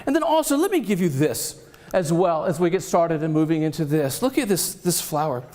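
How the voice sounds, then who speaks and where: A man with a Southern US accent giving an energetic, animated motivational keynote on a conference stage, joking with the audience. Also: a little high, fairly quick. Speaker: keynote speaker. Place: conference hall, speaking on stage.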